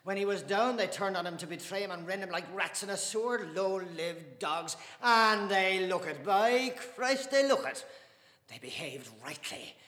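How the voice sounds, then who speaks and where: A man's voice: high voice, sing-song, a little slow, voice pushed up, heavily acted. A man playing a blustering mocking Irish father. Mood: scornful. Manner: loud, sneering, jovial bluster. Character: boisterous mocking middle-aged Irish father. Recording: echoey room with long reverb.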